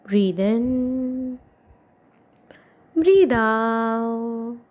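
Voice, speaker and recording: A woman guiding a meditation as an instructor, giving soothing instructions in short phrases with long pauses between them. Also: on the high side, lively intonation, a little mellow. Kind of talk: guided meditation, yoga nidra narration.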